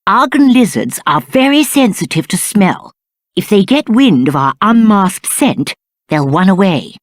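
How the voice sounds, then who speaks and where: A man's voice: high-pitched, sing-song, measured pace, no room sound, clean studio sound. A male actor playing a spoiled, cowardly young prince in a video game, with a British accent and haughty, whiny, aristocratic diction. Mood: haughty, commanding. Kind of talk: theatrical video game character acting.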